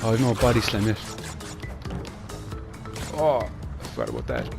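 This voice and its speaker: Young irish man, lowish voice, quick rambling chat, game music behind. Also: sing-song, gravelly. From Ireland. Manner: fast, rambling, chatty with Irish phrasing like "class". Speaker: gaming channel host. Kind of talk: casual gameplay commentary.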